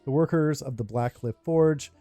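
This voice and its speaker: A man's voice: medium pitch, moderate pace, warm tone. A man casually commenting on a game playthrough and reading its text aloud in an offhand way. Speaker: gaming video host. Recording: faint game music behind voice. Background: game music.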